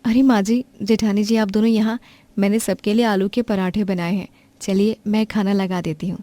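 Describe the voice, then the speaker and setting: Gentle, submissive young bride, voiced by a woman, mid pitch, dry sound. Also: fairly even intonation, normal speed, no room sound, natural voice. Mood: polite. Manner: soft, polite, submissive. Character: meek, patient young daughter-in-law.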